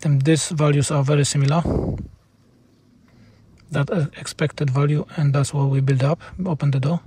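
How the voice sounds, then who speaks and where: A man with a Polish accent, a native Polish speaker, explaining car repairs informally as a mechanic in halting, non-native English. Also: on the high side, level tone, mellow, a little hiss or hum.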